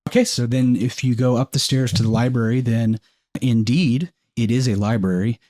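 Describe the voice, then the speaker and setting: A man's voice: mid-range voice, fairly even intonation, moderate pace, dark tone, dry recording, clean studio sound. A man working as game master, improvising descriptions in an informal, conversational way. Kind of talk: improvised tabletop game narration.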